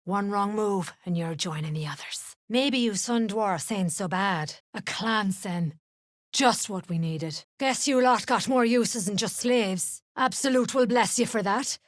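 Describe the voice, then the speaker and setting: An actress playing a tough, foul-mouthed duergar mercenary in a fantasy game, blunt and menacing in manner. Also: female voice, mid-range voice, measured pace, no echo, studio quality, close to an everyday voice. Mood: surly, blunt. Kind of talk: fantasy game character acting.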